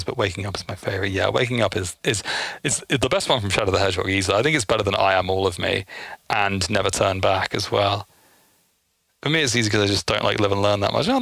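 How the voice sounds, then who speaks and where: A man talks casually to his livestream audience in a bright voice with frequent vocal fry. His delivery is effusive and peppered with swearing. The sound is dry, with little room around it. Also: on the low side, no echo. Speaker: streamer hosting a live game stream.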